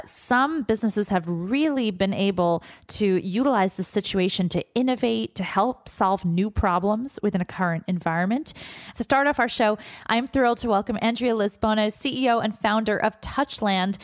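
A woman hosting a radio interview show from her home, enthusiastic and gushing, with frequent "uh"s. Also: medium pitch.

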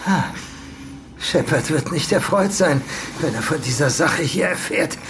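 An actor playing a middle-aged, evasive and condescending property manager mixed up in crime, in an audio drama. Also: male voice, on the high side, lively intonation, measured pace, noisy background. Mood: smug, evasive. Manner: evasive, condescending, swearing when rattled. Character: cornered, sneering older property manager in crime business.

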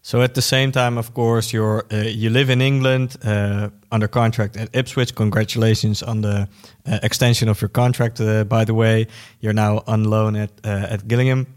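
A man interviewing a guest, asking questions in a friendly way and filling pauses with "uh". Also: lowish voice, flat delivery.

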